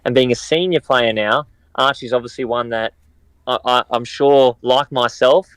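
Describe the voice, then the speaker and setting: An Australian man in his thirties, a professional footballer answering interview questions in a measured, reflective way. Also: mid-range voice, fairly even intonation, a bit bright, clean tone, no room sound. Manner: measured and reflective, many restarts.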